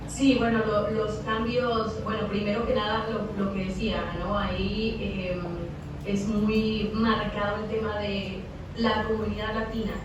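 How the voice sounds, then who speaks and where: A young Mexican woman, a radio announcer, speaking with a Mexican accent. She answers interview questions haltingly, repeating words as she thinks aloud. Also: mid-range voice, flat delivery. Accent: Mexican Spanish. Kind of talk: interview over a video call. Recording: echoey, distant, noisy video call audio.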